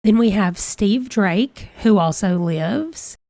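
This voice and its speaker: A woman's voice: medium pitch, lively intonation, slow pace, dark tone, no echo. A woman hosting a let's play, chatty and reading out dice rolls, her voice congested from a cold. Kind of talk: casual game commentary.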